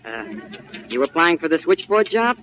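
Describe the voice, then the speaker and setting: High-voiced, brash office boy, lively swings, faint hiss. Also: moderate pace. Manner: brash, slangy, calls her sister. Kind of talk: 1930s radio acting. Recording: dull old radio broadcast with faint hiss.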